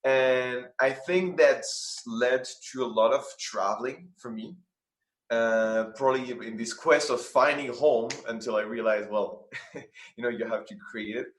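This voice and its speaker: A man's voice: medium pitch, measured pace, reverberant. A man from France, a guest talking earnestly over a video call and often restarting his phrases. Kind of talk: conversational interview.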